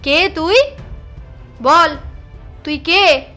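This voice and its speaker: A woman's voice: high-pitched, extremely slow, pushed and bright, soft background music, exaggerated voice. A woman voicing a taunting, boastful male village exorcist in a storybook reading, menacing and jeering. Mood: demanding.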